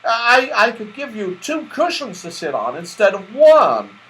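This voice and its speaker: Male reader as storybook toy bear, eager to please, hesitant and stammering, high in pitch. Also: wildly swooping pitch, measured pace, a bit bright, full character voice, low-quality recording. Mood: flustered, eager.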